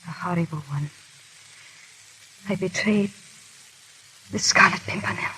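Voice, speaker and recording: A woman's voice: lowish voice, unhurried, bright, forceful tone. A woman playing a proud, guilt-ridden aristocratic wife in a theatrical, urgent 1930s radio drama style. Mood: remorseful, distressed. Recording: crackly, hissy 1930s radio recording.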